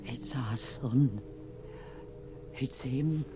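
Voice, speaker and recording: A woman's voice: a bass voice, very slow, full character voice. A woman playing a desperate middle-aged mother grieving for her dead son in a radio horror drama, anguished, pleading and intense. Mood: anxious, insistent. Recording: dull, noisy old radio drama recording.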